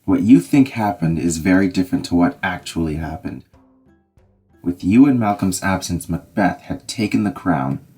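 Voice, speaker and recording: An actor voicing a grave Scottish nobleman with a steady, reassuring manner. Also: deep voice, normal speed, soft background music. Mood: solemn. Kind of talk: fan audio drama acting.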